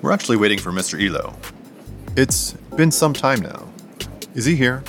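A man's voice: mid-range voice, big pitch swings, moderate pace, a bit bright, faint music behind. An actor playing a sceptical, formal male police detective in an audio drama. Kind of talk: naturalistic audio drama dialogue.

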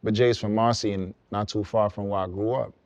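A young man from Brooklyn, a songwriter guest, explaining his path in relaxed, reflective casual conversation. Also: fairly low pitch, brisk, no room sound. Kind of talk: casual podcast chat.

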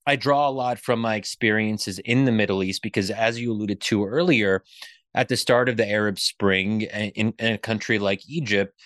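A man's voice: fairly low pitch, fairly even intonation, moderate pace, fairly bright tone, no echo, studio quality. A man from Pennsylvania with an American accent, speaking as an interview guest in an earnest, explanatory way about his views. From Pennsylvania, United States. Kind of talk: reflective political discussion.

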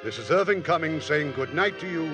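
1950s radio host, male, formal and showy, animated pitch. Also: a little fast, over music. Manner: formal, showman-like delivery. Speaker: host of a radio drama series.

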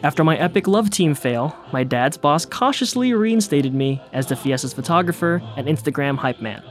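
A man's voice: fairly high pitch, animated, moderate pace, faint music behind. A man narrating his own documentary story in a wry, self-deprecating way. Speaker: host and narrator.

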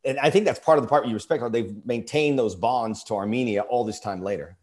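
Guy hosting an interview, average pitch, rambles and restarts a lot. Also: a little mellow. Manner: rambling, with restarts and halting questions. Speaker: interview host. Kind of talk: casual interview talk.

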